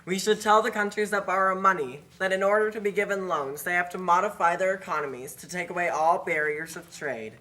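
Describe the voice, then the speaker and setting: Woman acting a bright, scheming greedy banker. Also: lowish voice, brisk, few pauses, rough recording. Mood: gleeful scheming. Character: scheming, greedy international lending official. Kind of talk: scripted puppet show acting.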